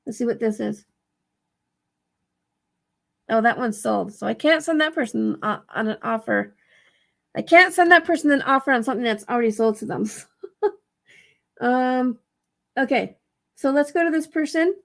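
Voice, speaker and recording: Raspy, higher-pitched woman thinking aloud. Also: animated, normal speed, pauses often, fairly bright tone. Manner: rambling, thinking aloud, self-correcting. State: tired, dry-throated. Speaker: vlogger giving reselling tips. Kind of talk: casual screen walkthrough tutorial.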